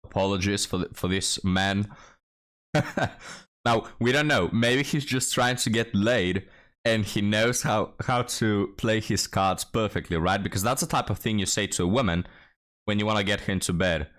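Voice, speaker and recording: A man's voice: fairly low pitch, normal speed, fairly bright tone. A man hosting a reaction channel, commenting on a clip with sarcastic, mocking asides to his viewers. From Europe.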